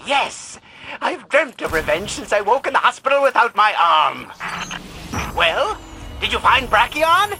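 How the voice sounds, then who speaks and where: A man's voice: high-pitched, big pitch swings, measured pace, music in the background, exaggerated voice. An actor playing a cantankerous, obsessive older male alien scientist in a video game, pompous and theatrically indignant in manner. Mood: vengeful. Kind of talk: dramatic video game voice acting.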